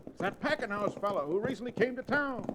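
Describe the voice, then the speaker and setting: An actor playing a crusty, jokey cowboy sidekick with a folksy, exclamatory way of talking in a radio drama. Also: male voice, high voice, expressive, fast talker, background noise. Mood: feisty. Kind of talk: old-time radio Western acting.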